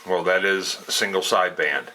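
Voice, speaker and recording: Male hobbyist presenter: low, forceful voice, plainspoken and folksy, explaining off the cuff. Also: moderate pace, rough recording. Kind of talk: casual unscripted explainer.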